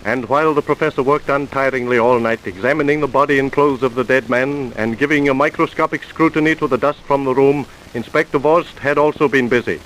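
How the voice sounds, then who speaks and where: A man narrating an old radio drama in a smooth announcer style. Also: medium pitch, fairly quick, few pauses, a bit bright, low-quality recording. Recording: hissy old radio recording.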